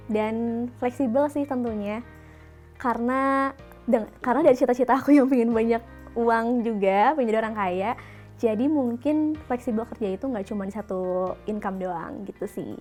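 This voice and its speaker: A young woman in her early twenties talks through her answers in a relaxed, casual way at a middle pitch. She pauses to think aloud. Soft music plays faintly behind her. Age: young adult. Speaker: interviewee, video editor.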